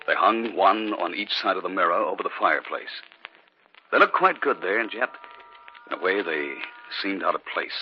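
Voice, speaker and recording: A man's voice: low-pitched, lively intonation, normal speed. An actor playing a mild, wry married man telling a supernatural tale in old-time radio drama style. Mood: grave, haunted. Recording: muffled vintage radio recording, music behind.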